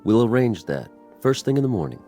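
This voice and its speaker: An actor playing a coolly polite, controlling alien escort in a radio drama, speaking in a clipped, formal way. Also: male voice, medium pitch, big pitch swings, a little fast, warm tone, close to an everyday voice. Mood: polite, controlled. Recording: music under the voice.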